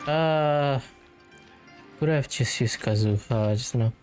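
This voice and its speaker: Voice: medium pitch, slow pace, a little mellow, faint music behind. A game player giving casual let's-play commentary, offhand and musing aloud.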